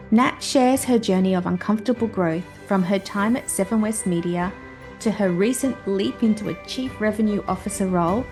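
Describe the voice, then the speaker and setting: A woman's voice: mid-range voice, mellow. A woman hosting an interview podcast, reading a scripted intro and then chatting and laughing with her guest. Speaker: podcast host.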